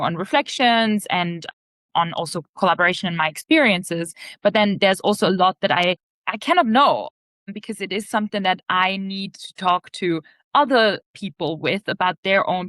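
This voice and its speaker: A woman's voice: medium pitch, a bit bright, dry recording. A woman from Austria answering interview questions in an earnest, reflective, conversational way. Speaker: podcast guest, leadership organization CEO.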